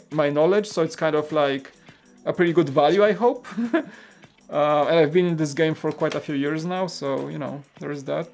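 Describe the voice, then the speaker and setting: A man from Poland with a Polish accent, hosting a live stream in a casual chat and reading out viewer comments. He talks in a rambling, relaxed way with many fillers and restarts. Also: a little high.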